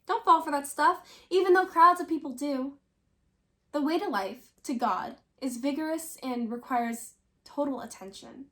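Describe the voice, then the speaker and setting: A woman's voice: high-pitched, normal speed, fairly bright tone. A woman reading a modern-language scripture passage aloud as a scripted recitation. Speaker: scripture reader.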